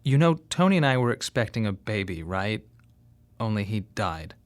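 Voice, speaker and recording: An actor playing a grieving father, speaking in a measured, wry radio monologue with pointed pauses. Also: fairly low pitch, big pitch swings. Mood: gentle, careful. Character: grieving bereaved father, wry, tense and plain-spoken. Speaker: narrator and lead of a monodrama. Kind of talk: dramatic first-person radio monologue.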